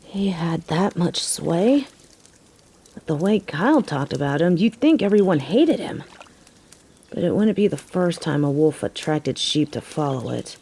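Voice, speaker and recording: Low, mellow woman acting a wry biker girlfriend. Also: animated, unhurried, vocal fry, husky, heavily acted. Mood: incredulous. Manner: teasing, wry, casual slangy phrasing. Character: tough, sardonic but caring young biker girlfriend. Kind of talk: scripted roleplay audio drama, intimate one-sided conversation. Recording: faint background noise.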